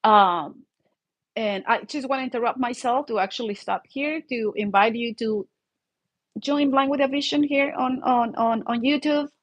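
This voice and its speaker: A woman's voice: a little high, normal speed, dull audio. A woman from Mexico with a Mexican accent and Spanish as her first language hosts an informal solo vlog, talking in a rambling, conversational way and often checking for agreement.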